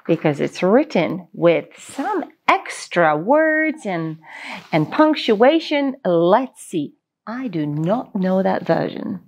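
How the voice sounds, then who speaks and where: Female children's storyteller: expressive, swooping intonation, unhurried delivery, playful asides. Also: medium pitch.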